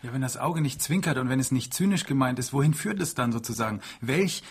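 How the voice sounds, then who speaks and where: A man's voice: medium pitch, expressive, fast, husky. A man in a spontaneous intellectual debate, probing and argumentative in the way he talks. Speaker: discussion participant.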